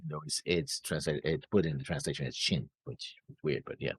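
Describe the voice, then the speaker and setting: A very deep man with a husky voice and a lively, swinging pitch answers in hesitant bits and dry sarcasm. The sound is dry and close, with no room around it. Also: a little fast, no echo. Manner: hesitant, sarcastic, lots of "you know". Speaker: co-host. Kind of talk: casual chat.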